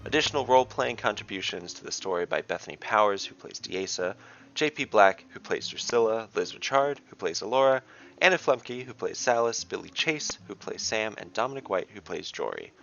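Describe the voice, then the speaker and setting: A man narrating a scripted fantasy story in measured, deliberate phrases. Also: on the low side, animated, gravelly, fairly bright tone, dull audio. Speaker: narrator and game master.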